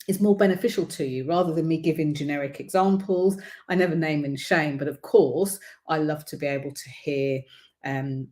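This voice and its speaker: A woman hosting a livestream as a visibility coach, speaking casually to viewers with a croaky throat and many ums. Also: sits low, a little mellow. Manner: chatty, many ums, encouraging. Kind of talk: casual livestream talk to camera.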